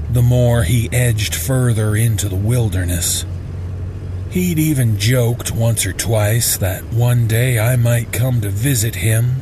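A man reads a first-person horror tale as its narrator. His voice sits fairly low and moves slowly, with deliberate suspense. A faint noise lies behind the scripted reading.

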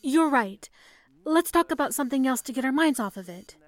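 An actress playing an earnest, dutiful and caring young telephone operator in a 1930s-style radio play. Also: female voice, high-pitched, animated, brisk, noisy background. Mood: earnest, concerned.

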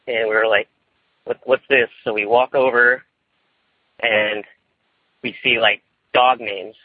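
A young man, calling in by phone and telling a personal story in a casual, rambling, nervous way, often halting and repeating himself. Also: mid-range voice, steady tone, husky, dry recording. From California, United States. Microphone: phone line. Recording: tinny, boxy phone call.

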